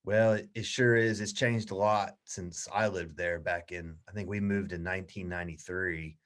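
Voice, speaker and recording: A man around forty, a guest in a casual interview, telling stories in a relaxed, easygoing way. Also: low-pitched, normal speed. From United States.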